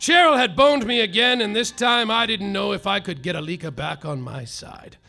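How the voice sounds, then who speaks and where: Man as an overwrought comic private eye, bright high voice, noir narration, live stage. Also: moderate pace, gravelly, soft background music, exaggerated voice. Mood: brooding. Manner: overwrought, shouting outbursts. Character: bumbling melodramatic male private detective narrating. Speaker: actor in a live comedy audio play. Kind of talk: hardboiled noir narration spoofed.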